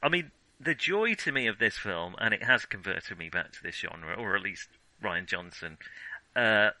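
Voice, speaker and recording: A man's voice: on the low side, lively intonation, normal speed, pushed and bright, no echo, low-quality recording. A man co-hosting a film podcast, chatting casually with wry, enthusiastic humour. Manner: enthusiastic, chuckling, wryly joking.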